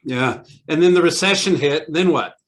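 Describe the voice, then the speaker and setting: A man hosts an interview over a video call in an upbeat, conversational way. His voice is a little higher than most men's, and he leads his guest along with easy questions. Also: normal speed. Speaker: interview host.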